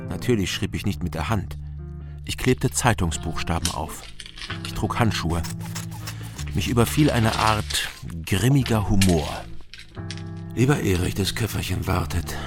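An actor playing a guarded, dry-humoured hero who narrates a radio crime drama in terse sentences. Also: male voice, low-pitched. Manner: terse, laconic, wry. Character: dry, guarded male hero telling his own story. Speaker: first-person narrator. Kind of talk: scripted noir crime narration.

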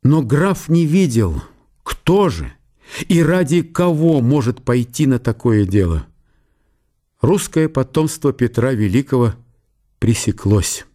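A man's voice: medium pitch, very animated, slow pace, mellow. A man narrating a historical audio play in a scripted, literary storytelling style.

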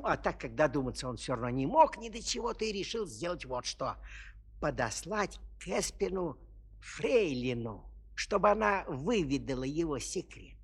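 A man narrating a children's fairy tale as a storyteller, expressive and playful, savouring the words. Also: a little high, husky.

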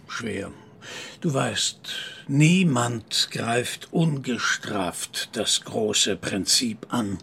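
An actor playing an elderly, manipulative and cold-hearted fanatic grandfather in a radio drama, speaking insistently and grandly with a raspy, gravelly voice. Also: fairly low pitch, fairly bright tone, a little hiss or hum, exaggerated voice. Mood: coaxing, menacing. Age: older. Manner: insistent, grandiose, deliberate phrasing.

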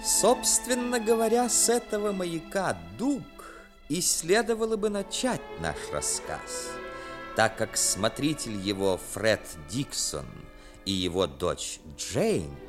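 A man narrating a story in a measured, expressive, dramatic style, as narrator of a 1960s radio play. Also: a little high. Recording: 1960s radio recording with music.

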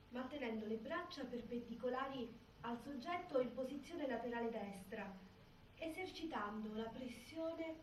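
A female prison doctor with a high voice. She testifies formally and soberly, in a measured clinical manner. The recording is roomy and distant, with faint noise. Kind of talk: formal testimony monologue on stage.

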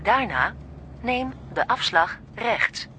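An actress playing a satnav voice in a radio play, giving measured instructions with snooty exasperation under the surface. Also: female voice, fairly high pitch, expressive, sharp tone, exaggerated voice. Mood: flat, polite. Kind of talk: radio drama acting. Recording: noisy car interior.

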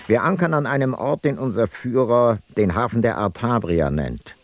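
An actor playing an ancient Greek seafarer, giving his voyage log in a measured, formal radio play narration. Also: medium pitch, expressive, natural voice. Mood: measured, observing. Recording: very echoey, music behind.

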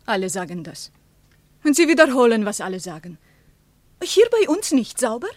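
Young spa guide woman, radio play, high swingy voice, pushy clipped questions, dry sound. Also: sing-song, normal speed, dry recording. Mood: provocative, pressing. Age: young adult. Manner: probing, blunt questioning in clipped foreigner's sentences. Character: Marika, provocative young Soviet spa guide in her twenties.

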